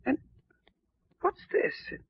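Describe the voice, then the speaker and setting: An actress playing an emotional, defensive, jealous wife in a 1940s radio melodrama, with a faltering manner. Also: female voice, slow, close to an everyday voice. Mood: anguished. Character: distraught, jealous, defensive wife under suspicion. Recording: dull vintage radio recording.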